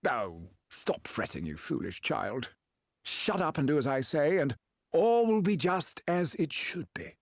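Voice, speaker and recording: An actor playing a pompous, decadent game villain with a sneering, florid delivery. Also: male voice, fairly high pitch, sing-song, a little slow, vocal fry, no room sound, clean studio sound, exaggerated voice. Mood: contemptuous, commanding. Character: pompous, decadent villain, bullying and self-indulgent. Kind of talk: dramatic video game voice acting.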